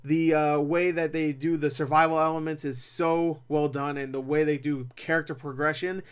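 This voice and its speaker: A young man talking casually as a film reviewer, rambling and animated, with opinionated asides. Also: a little high. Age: young adult. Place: small cluttered room at home.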